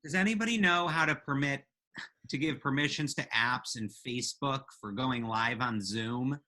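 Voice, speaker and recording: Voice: mid-range voice, normal speed, pushed and bright. A podcast co-host chatting casually and playfully with listeners as friends on a live show.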